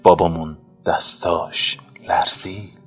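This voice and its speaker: Deep-toned man in a sentimental reading. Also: little pitch movement, slightly rough. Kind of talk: sentimental scripted radio tribute reading. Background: soft music.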